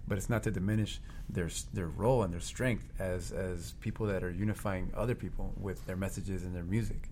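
A low-voiced man tells band stories with animated, earnest energy. A faint background hiss runs under his speech. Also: brisk, hardly any pauses. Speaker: band member. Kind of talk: casual interview chat.

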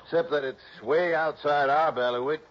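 An actor playing a folksy police captain. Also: male voice, fairly high pitch, fairly even intonation, slight background noise, natural voice. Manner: drawling, folksy, unhurried. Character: folksy male small-town police captain. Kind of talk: 1960s radio drama acting. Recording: dull old radio broadcast.

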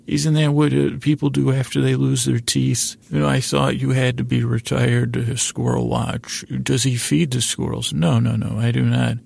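Male podcast host, heavy gravelly fry, mid-pitched; meandering, whimsical bedtime rambling with halting restarts. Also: flat delivery, no room sound. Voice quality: creaky, gravelly. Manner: meandering, halting, repeats and trails off; gently whimsical, self-deprecating. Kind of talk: rambling bedtime monologue to the listener.

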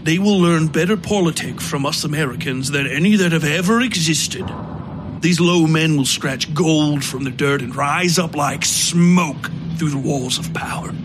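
A man voicing a pompous, scornful American gentleman who rants theatrically in a dark audio story. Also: on the high side, moderate pace, noisy background, close to an everyday voice. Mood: pompous, conspiratorial. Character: ranting, scornful, drunken American man of wealth, a bigoted theorist. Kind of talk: dramatised audiobook dialogue.

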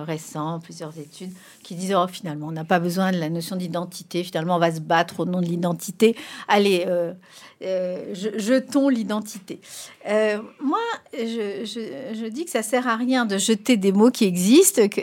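A middle-aged woman whose first language is Spanish, answering as an expert guest in an interview. She speaks emphatically, and her pitch jumps up on key points. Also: a little mellow.

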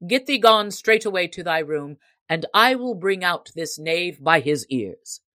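Burly, blustering male cook, played by a woman, storybook role, gruff archaic challenges, low and dry. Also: expressive, measured pace, bright, forceful tone, no echo. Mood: bold. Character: tall, stout, blustering, valorous male cook.

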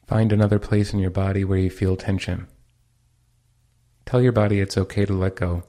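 A man leading a guided meditation as its teacher, giving calm, unhurried instructions. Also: sits low.

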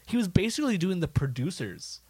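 A man's voice: high voice, sing-song, a little fast, a little mellow, husky, no room sound. A man co-hosting a podcast, talking casually about films with a wry, film-buff manner. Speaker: podcast co-host.

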